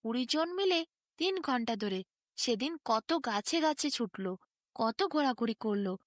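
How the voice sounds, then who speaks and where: A woman's voice: on the high side, animated, measured pace, dry recording, clean studio sound. A woman, narrating a bedtime story expressively as a storyteller.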